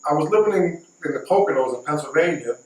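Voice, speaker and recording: A middle-aged man with a fairly high voice spins a casual anecdote. He doubles back and repeats key points for emphasis. The recording is roomy and a bit distant from the mic. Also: expressive, a little fast. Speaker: storyteller. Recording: echoey, distant mic, faint noise.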